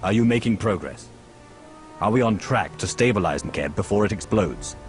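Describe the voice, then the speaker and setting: A man's voice: fairly low pitch, lively intonation, moderate pace, natural voice. A man playing a resolute, commanding lord in a video game, speaking formally and with authority. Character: commanding, resolute lord giving urgent orders. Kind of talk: video game cutscene acting. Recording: clear music underneath.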